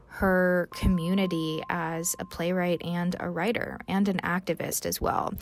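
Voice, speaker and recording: Woman hosting a podcast, faint music. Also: lowish voice. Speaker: podcast host and producer introducing a guest. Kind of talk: podcast introduction.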